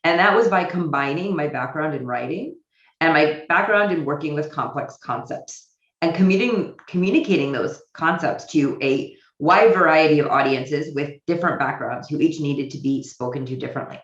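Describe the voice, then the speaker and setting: A woman's voice: low-pitched, normal speed, roomy sound, highs cut off. American woman giving a talk over a call. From United States. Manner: thoughtful, many fillers and restarts. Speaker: conference speaker. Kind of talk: virtual conference talk. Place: home office in Israel.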